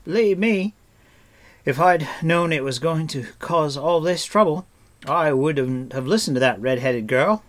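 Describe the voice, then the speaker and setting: A man's voice: fairly high pitch, expressive, measured pace, pitched above the performer's own voice. Man playing a florid, protesting wandering bard. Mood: apologetic. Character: frightened, flowery, bowing male wandering bard.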